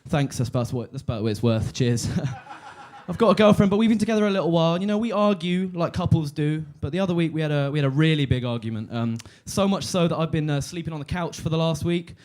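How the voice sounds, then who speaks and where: A man in his twenties doing a deadpan stand-up set on a comedy club stage, halting with fillers and restarts. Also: fairly high pitch. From London. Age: 20s.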